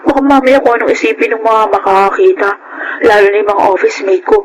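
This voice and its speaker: An actress playing a sweet, conflicted young office worker with a Filipino accent in a radio drama. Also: female voice, a little high, quick pace, a crowd in the background, close to an everyday voice. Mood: uneasy. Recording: noisy radio drama recording.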